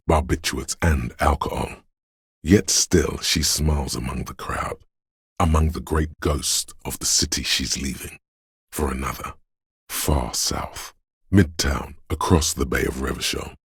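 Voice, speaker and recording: Super deep raspy otherworldly inner voice, brooding game narration, solemn and measured like an incantation, really dry close sound. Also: male voice, no echo, full character voice. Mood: somber, wistful. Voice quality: very deep, gravelly, husky. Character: brooding, otherworldly inner voice sensing a rainy city. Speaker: video game voice actor. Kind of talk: slow, poetic second-person game narration.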